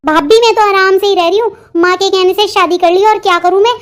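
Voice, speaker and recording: A woman's voice: very high-pitched, steady tone, a little fast. A woman voicing a sulky, defiant young bride in a melodramatic Hindi cartoon, talking back petulantly about her forced marriage.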